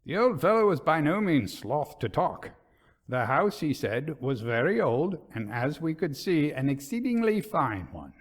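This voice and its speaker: A man reading a literary ghost story as a storyteller, in measured, dramatic, formal narration. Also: on the high side.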